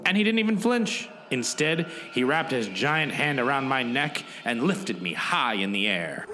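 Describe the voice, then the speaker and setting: A man's voice: medium pitch, very animated, a little slow, sharp tone, a little hiss or hum. A man narrating a mock-epic comic radio play in a theatrical, melodramatic way. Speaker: podcast host and narrator.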